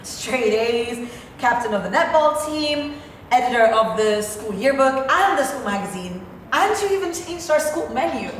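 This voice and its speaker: Woman playing a high-voiced polite girlfriend, echoey. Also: animated, normal speed, natural voice. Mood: admiring. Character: polite, admiring, awkward girlfriend visiting the family. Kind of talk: stage play acting. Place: theatre stage, recorded from a distance. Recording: echoey theatre stage from far off, faint noise.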